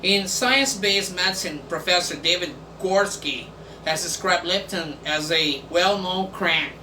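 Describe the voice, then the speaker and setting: A man whose first language is Portuguese, hosting a casual video and reading text aloud in English with many pauses and fillers. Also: high-pitched, sharp tone. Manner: halting, many fillers and restarts. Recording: echoey room from far off, faint background noise.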